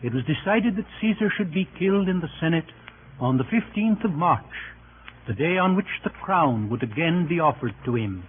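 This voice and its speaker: A man narrating Roman history for an old radio drama in a formal, deliberate manner with clipped phrases. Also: on the high side, expressive, natural voice. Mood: matter-of-fact. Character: sober male chronicler narrating Roman history. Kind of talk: scripted narration for 1930s-40s radio drama. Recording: noisy, narrow-band old radio recording.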